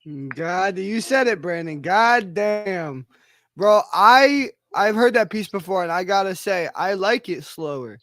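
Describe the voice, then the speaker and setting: A man hosting a rap cypher talks in a somewhat high voice, rambling and swearing through his praise. He restarts often and leans on fillers. The sound is close and dry. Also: expressive, a little slow, a bit bright, dry recording. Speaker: cypher host. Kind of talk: casual chat.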